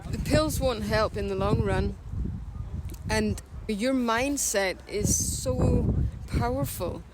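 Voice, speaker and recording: A woman's voice: a little high, slow. A woman with a Scottish accent speaking candidly and reflectively in an outdoor interview, often restarting her sentences. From Scotland and Denmark. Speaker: interview guest. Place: outdoors by a city river. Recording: outdoor recording with loud street noise. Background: traffic and city ambience.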